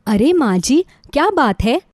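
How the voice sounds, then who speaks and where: A woman's voice: sits high, sing-song, a little slow, darkish tone, no echo, clean studio sound. A woman voicing a kind, cheerful young daughter who sells food, speaking politely and eagerly in a moral story.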